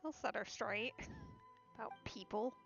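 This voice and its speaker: A woman's voice: a little high, wildly swooping pitch, a little slow, vocal fry, fairly bright tone. A woman streaming a video game, giving casual live commentary. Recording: slightly dull sound over game music.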